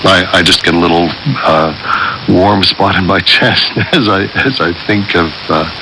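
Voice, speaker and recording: A man's voice: low-pitched, a little slow, background noise. A man from Canada sharing a personal reminiscence, reflective and sentimental, searching for his words. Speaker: radio listener.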